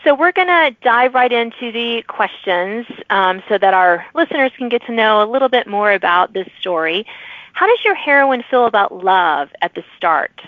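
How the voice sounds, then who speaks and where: A woman's voice: fairly high pitch, expressive, moderate pace, no room sound. A woman hosting a podcast, speaking in an interviewer's presenting style. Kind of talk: interview introduction and questions. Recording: dry, thin phone-like audio.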